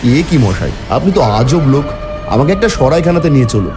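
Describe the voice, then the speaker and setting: A man's voice: medium pitch, very animated, fairly quick, over music. A man narrating a cartoon horror story in the first person, theatrical and exclamatory when voicing the dialogue.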